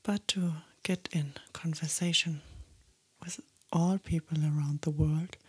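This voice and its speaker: A young German-accented woman whispers right into a close binaural microphone, her voice airy and husky. She talks slowly and gently, and her pitch sits a little low for a woman. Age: young adult. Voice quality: breathy whisper. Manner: gentle, slow whispering with soft spoken asides. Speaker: ASMR creator. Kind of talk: ASMR whispering. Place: small dark indoor studio. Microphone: close studio binaural microphone.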